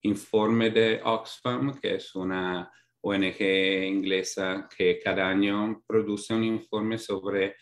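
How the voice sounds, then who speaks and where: Thoughtful low-voiced migrant academic man. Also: steady tone. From Europe. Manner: measured, thoughtful, many fillers and restarts. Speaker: academic guest, migration researcher. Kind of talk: interview answers, explanatory.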